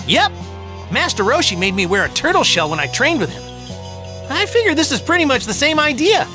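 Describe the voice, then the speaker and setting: A woman's voice: high-pitched, big pitch swings, fairly quick, over music. A woman playing a cheerful, guileless young male martial arts hero in an anime game, boyish, upbeat and plain-spoken. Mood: cheerful, casual. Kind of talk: anime video game voice acting.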